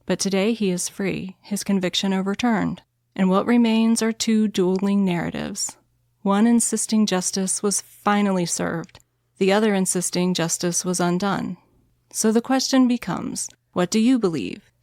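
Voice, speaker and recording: A woman hosts a true crime podcast and reads her narration in a calm, soothing, measured voice. The sound is dry and close to the mic, with no room around it. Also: mid-range voice, dry recording. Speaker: podcast host.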